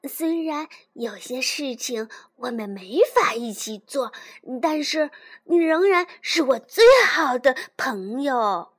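A kind, shy young rabbit boy talks softly and hesitantly, voiced by a woman reading aloud. His voice is breathy and slow and sits a little high. It sounds like a gentle figure in a bedtime storybook. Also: expressive, exaggerated voice. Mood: tender.

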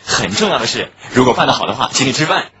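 A woman playing a casual teenage schoolboy who is shyly in love, in a radio drama, with a husky boyish voice. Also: very deep voice, big pitch swings, fast talker, bright, forceful tone, heavily acted. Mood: coaxing. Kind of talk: Chinese radio drama acting. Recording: dull lo-fi audio with music behind.

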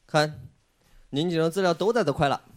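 一个男人演的嗓音偏高的年轻男学生. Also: male voice, a little high, a little fast, slight background noise, close to an everyday voice. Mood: joking. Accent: regional Chinese dialect. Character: young male student, loyal, joking buddy. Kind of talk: Chinese dialect audio drama acting.

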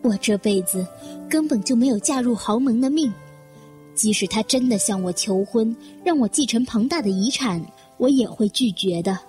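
A woman's voice: a little high, expressive, moderate pace, darkish tone, lightly acted. A woman playing a bitter, self-deprecating career secretary in an audio drama, giving a brooding, wistful inner monologue. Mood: resigned. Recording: music behind the voice.

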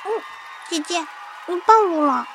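Woman playing a high-pitched cheeky little sister. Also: normal speed, creaky, a little hiss or hum. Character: cheeky, indignant eight-year-old little sister. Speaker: radio co-host. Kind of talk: scripted radio skit.

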